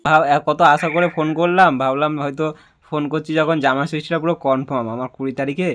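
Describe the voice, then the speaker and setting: A man's voice: on the high side, fairly even intonation, fast talker, a bit bright, faint music behind. A young man making a prank call, chatting casually in a playful, persistent, pleading way. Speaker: prank caller. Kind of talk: casual teasing phone chat.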